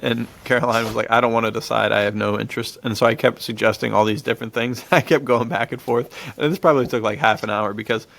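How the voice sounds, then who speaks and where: A man's voice: medium pitch, steady tone, fast, gravelly, hardly any pauses, a bit bright, slight background noise. An American man hosting a podcast, chatting casually and informally about films and telling anecdotes, often chuckling as he talks. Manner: chuckles often while talking; informal, slangy, says "dude" a lot. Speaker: podcast host. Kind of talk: casual film discussion and anecdotes.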